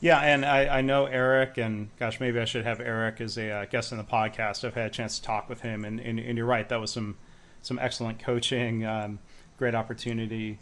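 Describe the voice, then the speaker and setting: Male podcast host, mid-range voice, casual and unhurried, lots of fillers. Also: mid-range voice. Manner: relaxed, with frequent ums and uhs. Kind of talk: podcast interview and promo reads.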